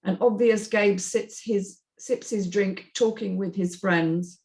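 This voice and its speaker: Female narrator for a script table read, medium pitch, reading out the action. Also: fairly even intonation, takes it slowly, a little mellow. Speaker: stage directions narrator in a script read-through.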